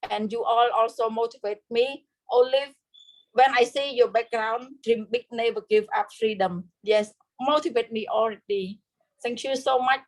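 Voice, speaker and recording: A woman with a notably high voice and a Khmer accent speaks in careful, halting English. She is a native Khmer speaker, pausing often to search for words as she gives her personal testimony as a guest speaker. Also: rough recording. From Cambodia.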